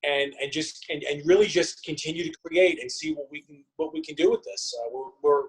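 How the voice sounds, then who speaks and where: A man's voice: a little high, a little fast, husky, low-quality recording. A man giving earnest, conversational answers as an interview guest, repeating words for emphasis. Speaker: interview guest, theatre company co-founder.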